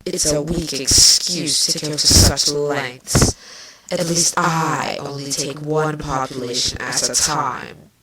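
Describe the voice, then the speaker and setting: A woman's voice: low-pitched, steady tone, takes it slowly, crowd noise. A woman voicing a bitter, venomous changeling queen villainess with a biting, sardonic delivery in a fan fiction reading. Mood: scornful. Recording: distant mic, clearly noisy.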